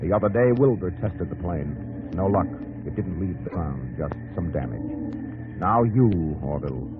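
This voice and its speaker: Male American narrator, very low and grave, probing 1950s radio drama delivery, dull vintage recording. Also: animated, unhurried. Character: solemn, probing male narrator addressing the hero directly. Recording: muffled old radio broadcast, music underneath.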